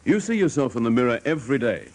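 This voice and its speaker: A man's voice: medium pitch, sing-song, fast talker, darkish tone. A man reading a persuasive, polished advertisement in 1950s radio style. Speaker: commercial announcer.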